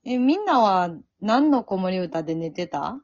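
A woman's voice: medium pitch, very animated, measured pace, darkish tone, no room sound. A woman with a Kansai accent chatting casually as a regular on an amateur talk show. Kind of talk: casual after-show chat. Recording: dull lo-fi audio.

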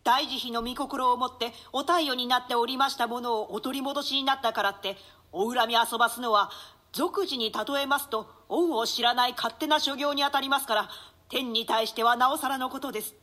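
A young lord played by a woman with a bright voice, slightly high. He is earnest and polite, gently admonishing in formal stage language. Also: a little fast. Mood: earnest consoling. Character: dutiful young nobleman, gently admonishing his mother. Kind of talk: theatrical classical Japanese play reading.

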